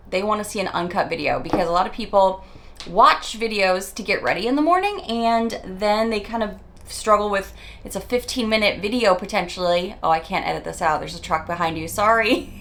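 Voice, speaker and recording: A woman talks straight to camera while doing her makeup, her morning voice still raspy and her pitch sitting in an ordinary middle range. She rambles a little nervously, stumbles over words and laughs at herself along the way. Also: fairly bright tone, slight background noise. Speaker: beauty vlogger. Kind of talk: chatty get-ready-with-me monologue.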